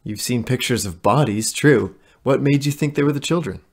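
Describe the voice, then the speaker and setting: Male shapeshifting fae tempter, played by a man, mid-pitched, seductive and taunting, for audio drama. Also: moderate pace, breathy edge. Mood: coaxing. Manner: coaxing, taunting. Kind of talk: audio play acting.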